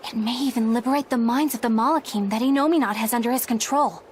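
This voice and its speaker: Actress as resolute young game heroine, sincere, proper, voice a bit high. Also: female voice, fast talker, a little hiss or hum, close to an everyday voice. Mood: earnest. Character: earnest, principled, resolute young woman. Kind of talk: fantasy game acting.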